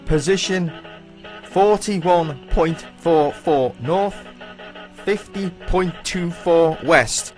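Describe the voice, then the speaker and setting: A man playing an anxious, desperate ship's wireless operator in a radio play, with loud music behind him. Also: fairly high pitch, drawn-out words. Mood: grave. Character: anxious young male Titanic wireless operator, dutiful and desperate. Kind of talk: amateur radio play acting.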